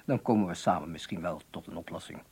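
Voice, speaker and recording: An actor playing a formal, patronising personnel manager in a radio drama, measured and official. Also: male voice, sits low, a little mellow, husky, exaggerated voice. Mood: stern, patronising.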